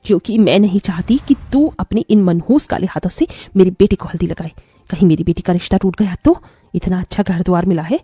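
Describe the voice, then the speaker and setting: A haughty middle-aged stepmother from a cartoon morality tale, acted by a woman. Her voice is mellow and slightly rough, recorded dry. She is scolding, taunting and vain about her daughter. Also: on the low side, fairly quick, dry recording. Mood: harsh.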